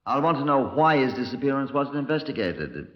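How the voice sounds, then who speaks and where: An actor playing a brusque, sardonic Scotland Yard chief inspector in a 1950s radio crime drama. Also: male voice, mid-range voice, sing-song, a little fast. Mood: brusque, impatient. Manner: brusque, dry, sarcastic. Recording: dull, lo-fi 1950s radio recording.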